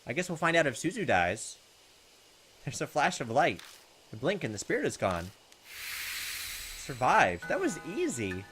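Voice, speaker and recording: A man's voice: mid-range voice, wildly swooping pitch, a little slow, long pauses, a bit bright, slight background noise. A man giving casual, chatty commentary on a video game, reading its text aloud and talking back to it. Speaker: gaming video host. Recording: music behind the voice. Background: video game music.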